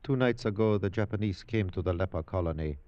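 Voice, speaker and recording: Low formal guy acting a calm grave japanese head man, dull old radio recording. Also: no echo, natural voice. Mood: matter-of-fact. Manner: formal, measured.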